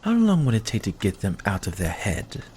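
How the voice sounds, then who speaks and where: A man's voice: on the low side, huge pitch swings, moderate pace, mellow, slightly rough, heavily acted. A man playing a possessive, dry-witted vampire in an ASMR roleplay, with a sardonic, commanding manner. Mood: commanding, questioning. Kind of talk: ASMR roleplay audio drama.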